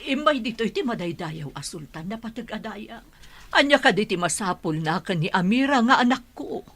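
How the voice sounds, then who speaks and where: A woman's voice: medium pitch, lively intonation, normal speed, a bit bright, no echo. A woman with an Ilocano accent playing a wary mother in a radio drama. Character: wary mother of a young herbalist.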